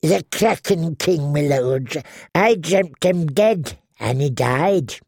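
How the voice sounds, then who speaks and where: A man voicing an ancient, tiny dwarf woman seer who speaks slowly and eerily with a croaking, drawn-out voice. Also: a little high, expressive, a little mellow, dry recording, studio quality, voice pushed up, heavily acted. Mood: ominous. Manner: slow, wheedling, prophetic. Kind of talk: audiobook character voice.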